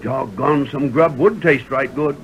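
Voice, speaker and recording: An actor playing a folksy, rueful old prospector with a Western drawl in an old radio western. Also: male voice, medium pitch, unhurried, lo-fi sound, natural voice. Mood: resigned. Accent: American Western drawl. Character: glum, folksy broke old prospector Sleepy. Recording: old hissy radio recording.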